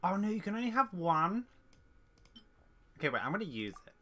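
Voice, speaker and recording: A woman's voice: lowish voice, very animated, a little fast, fairly bright tone. A woman game streamer giving casual, playful play-along commentary, reacting aloud as she plays.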